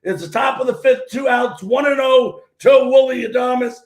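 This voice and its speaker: Hoarse, loud sports talk host. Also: male voice, extremely high pitch, normal speed, roomy sound. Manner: loud and opinionated, often shouting. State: hoarse, losing his voice.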